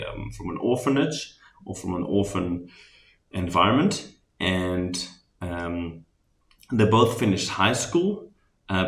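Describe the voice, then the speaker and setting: A man from the Netherlands with a Dutch accent, giving a relaxed, rambling recap of a design workshop he presented, with many ums and restarts. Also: low voice, reverberant.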